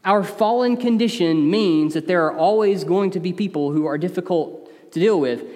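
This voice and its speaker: A man's voice: high-pitched, darkish tone. A man with an East Tennessee accent preaching a sermon, earnest and conversational, with emphatic rises. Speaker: pastor. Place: church sanctuary. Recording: long reverb of a large hall.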